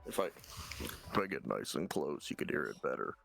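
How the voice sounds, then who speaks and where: A man with a naturally deep voice, a guest on an online talk show, jokey and boastful and quick to laugh. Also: very animated, gravelly, a bit bright, soft background music. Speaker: guest streamer. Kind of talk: casual interview chat.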